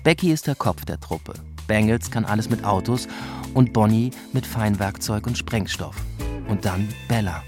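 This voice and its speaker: An actor playing a disillusioned police officer who narrates an audio drama in a laconic, colloquial way. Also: male voice, fairly low pitch, expressive, moderate pace, lightly acted. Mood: wry admiration. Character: disillusioned male police officer, wryly admiring storyteller. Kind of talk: scripted first-person narration, audio drama. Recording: music behind the voice.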